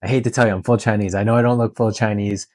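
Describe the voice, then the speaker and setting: A man's voice: lowish voice, steady tone, quick pace, darkish tone, no room sound. A man from Canada and Hong Kong talking candidly and informally in a question-and-answer vlog. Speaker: tattoo artist and vlogger.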